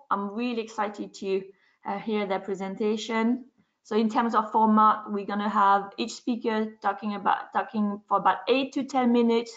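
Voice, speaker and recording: A woman moderating an online webinar, talking quickly with frequent fillers as she introduces the program and speakers. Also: fairly high pitch. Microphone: video call. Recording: dull lo-fi call audio.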